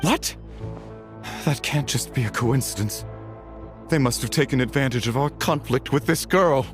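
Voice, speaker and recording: A man's voice: medium pitch, normal speed, faint music behind, lightly acted. A man voicing a stern, dutiful follower of a noble lady in a video game, formal and urgent. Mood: alarmed. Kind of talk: dramatic video game voice acting.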